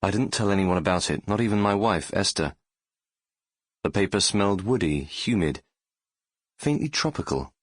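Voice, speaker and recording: Casting: middle-aged male doctor, low voice, dry studio sound, reflective and regretful narrator. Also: lively intonation, moderate pace, long pauses, no room sound. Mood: nostalgic. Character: middle-aged Brazilian-born London doctor, nostalgic and regretful. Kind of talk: first-person audiobook narration.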